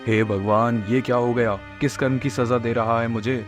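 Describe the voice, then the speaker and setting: A haughty rich man of a cartoon moral tale, acted by a man, mid-pitched and status-obsessed. He talks in a scolding, self-important way, with faint music underneath. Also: level tone, moderate pace, natural voice. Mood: distraught. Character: proud, status-conscious rich brother and father of the bride. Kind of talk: Hindi moral-story cartoon dialogue.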